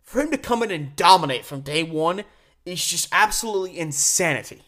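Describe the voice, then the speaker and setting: A man's voice: high voice, expressive, fairly quick, bright, forceful tone. Emphatic male sports podcast host. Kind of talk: solo sports commentary, conversational.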